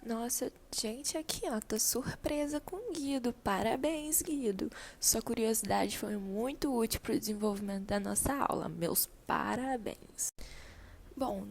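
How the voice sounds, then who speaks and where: A woman's voice: a little high, gravelly, a little hiss or hum. A woman with a Brazilian accent playing an encouraging schoolteacher who addresses her class informally in an amateur radio play. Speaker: teacher leading a class discussion.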